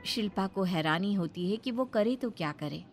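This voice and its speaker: A woman's voice: mid-range voice, lively intonation, normal speed, faint music behind. A woman narrating a scripted Hindi family moral story as a storyteller.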